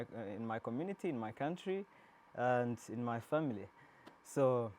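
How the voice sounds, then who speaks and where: Man hosting an interview, from rwanda, chatty and rambling, mid-pitched voice, faint hiss behind. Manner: warm, rambling questions with many restarts. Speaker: interview host. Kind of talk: casual interview.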